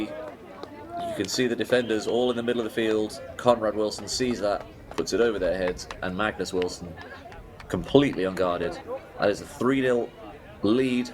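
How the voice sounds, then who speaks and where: A man commentates on a game as it happens, talking through each play in a steady, informative way. His voice sits low. A loud crowd roars behind him outdoors. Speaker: sports commentator.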